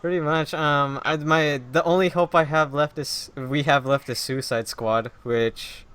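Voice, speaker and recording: Smooth-voiced podcast host man. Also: on the high side, a little slow. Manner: relaxed, steering the conversation. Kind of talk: casual chat.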